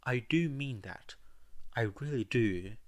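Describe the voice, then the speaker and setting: Man playing a solemn, slow-spoken young mentor. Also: mid-range voice, expressive, pitched above the performer's own voice. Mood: gently firm. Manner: measured, earnest, apologetic.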